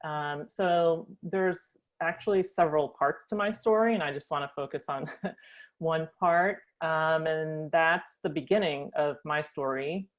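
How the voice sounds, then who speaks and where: Low-voiced woman telling her life story. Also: measured pace, muffled recording. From United States. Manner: thoughtful, frequent ums. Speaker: guest. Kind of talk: reflective personal storytelling.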